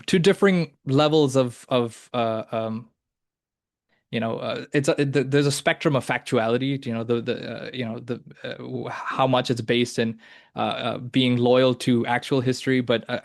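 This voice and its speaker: A man's voice: medium pitch, no room sound. A young man hosts a podcast discussion, stumbling through his points with frequent fillers and restarts. His voice stays level in a middle register and barely rises or falls. The sound is dry and close, with no room around it. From Hong Kong and mainland China. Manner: halting, many fillers and restarts. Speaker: podcast host. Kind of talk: casual discussion.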